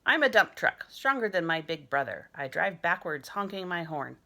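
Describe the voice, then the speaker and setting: A woman's voice: on the low side, sing-song, normal speed, vocal fry, sharp tone, full character voice. A woman voicing an exuberant, imaginative little boy who boasts his way through a children's picture book. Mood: boastful. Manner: exuberant, boastful, sound-effect loving. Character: boisterous imaginative little boy playing vehicles and animals.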